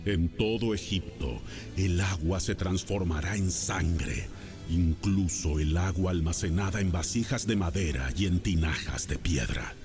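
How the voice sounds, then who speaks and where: A man's voice: low voice, unhurried. A man playing God as a solemn, commanding deity in a dramatized audio Bible. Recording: music behind the voice. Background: music.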